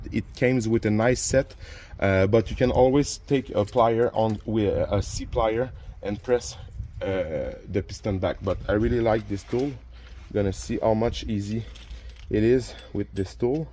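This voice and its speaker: A man's voice: medium pitch, a little mellow, slight background noise. A man whose first language is French, hosting a do-it-yourself car repair video and explaining each step casually while he works. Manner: plain, instructive, with frequent restarts and filler. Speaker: DIY car repair channel host. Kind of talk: casual how-to narration while working.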